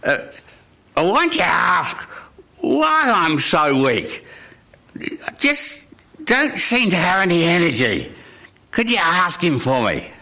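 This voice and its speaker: Man voicing a loud, plaintive, scrawny old-tale wolf. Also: sits high, very animated, takes it slowly, long pauses, voice pushed up, full character voice. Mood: weary pleading. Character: miserable, scrawny wolf, weak and pleading. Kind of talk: live storytelling.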